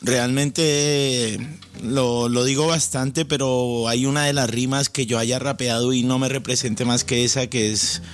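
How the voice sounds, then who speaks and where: A man's voice: mid-range voice, steady tone, a little slow. A man from Colombia, a rapper guest on a radio show, explaining his music earnestly and at length. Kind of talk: casual radio interview.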